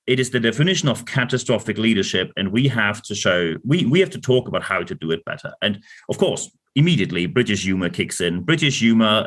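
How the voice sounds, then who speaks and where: A man with a German accent giving a lecture-style talk on leadership, emphatic and animated, with jokey asides and repeated words. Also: lowish voice. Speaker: leadership consultant host.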